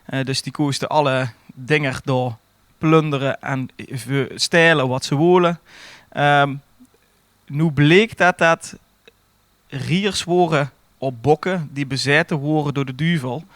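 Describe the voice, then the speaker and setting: Limburg guy on a podcast, kinda high voice, telling stuff casually, really dry room sound. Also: takes it slowly, fairly bright tone, no room sound. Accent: Dutch Limburg. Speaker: podcast co-host. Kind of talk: casual chat and informal storytelling.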